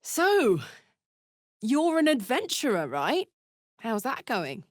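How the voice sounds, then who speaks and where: A dry, close recording of a brash warrior woman from a fantasy video game. She is salty and blunt but big-hearted and earnest. Her delivery is unhurried, with lively, wide swings in pitch. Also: no room sound, heavily acted. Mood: playful.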